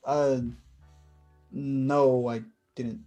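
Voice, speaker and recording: Man acting awkward, soft-toned, nervous young guy. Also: mid-range voice, little pitch movement, highs cut off, faint music behind. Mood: shy, amused. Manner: halting, fumbling, self-conscious. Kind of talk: staged play reading.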